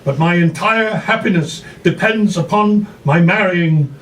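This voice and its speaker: A man's voice: high voice, unhurried, fairly bright tone, pitched above the performer's own voice. A man voicing a headstrong, defiant young daughter in a live dramatic reading of a novella. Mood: defiant, pleading. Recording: distant mic, noisy live room.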